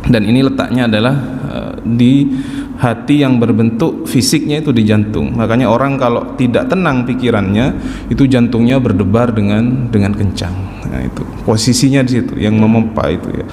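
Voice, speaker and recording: A man in his forties giving a calm, explaining religious lecture at a mosque study circle, often adding an affirming "ya". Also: mid-range voice, moderate pace, slight background noise. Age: 40s. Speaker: religious teacher. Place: large mosque hall. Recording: echoey mosque hall with faint ambience.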